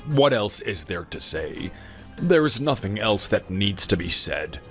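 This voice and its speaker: A man's voice: fairly low pitch, sing-song, measured pace, a bit bright, exaggerated voice. Male actor as dying old father, weary, bitter, resigned, plain-spoken, drama dialogue, faint music.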